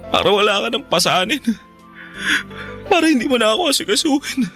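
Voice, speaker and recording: Embittered husband: man, high sharp voice, irritable, anguished radio drama delivery. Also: big pitch swings, a little fast, soft background music, full character voice. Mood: despairing. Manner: anguished, snappish, emotional delivery. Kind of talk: Filipino radio drama acting.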